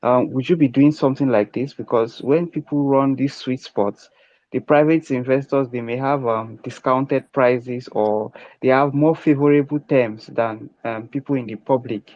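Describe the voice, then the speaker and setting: A man's voice: medium pitch, fairly even intonation, moderate pace, darkish tone, no echo, lo-fi sound. A man hosting an online question session, asking long questions broken up by many "um" fillers. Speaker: AMA host. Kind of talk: online crypto AMA questioning.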